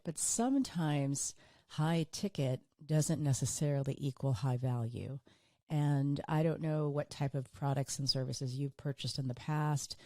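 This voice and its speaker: A woman hosting her own business podcast, talking earnestly on her own, a little hoarse and tired from illness. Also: deep voice, warm tone, no room sound. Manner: earnest, repeats for emphasis. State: hoarse, recovering from illness, fatigued. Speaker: podcast host. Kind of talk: solo business podcast monologue.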